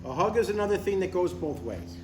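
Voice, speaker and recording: A man plays an older father, stubborn but affectionate, at a live comedy table read. His voice sits fairly high and moves animatedly, yet stays matter-of-fact. He sounds a little away from the mic. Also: sing-song, brisk. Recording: roomy, distant live recording, faint noise.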